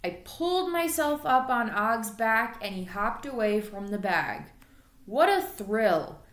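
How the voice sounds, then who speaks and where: A woman's voice: fairly high pitch, lively intonation, slow. A woman reading a children's story aloud expressively, like an elementary school teacher. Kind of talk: storybook read-aloud narration. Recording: echoey room.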